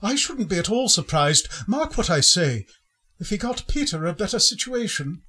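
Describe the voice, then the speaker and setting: A man playing a gentle, grieving Victorian father in a radio play, speaking tenderly and earnestly in a husky voice. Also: high voice, very animated, moderate pace, heavily acted. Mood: warmly earnest. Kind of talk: sentimental radio play acting.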